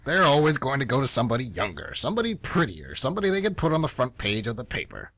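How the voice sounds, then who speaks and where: Radio play: male actor as indignant veteran schoolteacher close to retirement, animated, bright husky voice, emphatic rants. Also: mid-range voice, fast talker, no room sound, exaggerated voice. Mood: cynical, ranting. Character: grumpy old-school male high-school teacher nearing retirement. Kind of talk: amateur radio play acting.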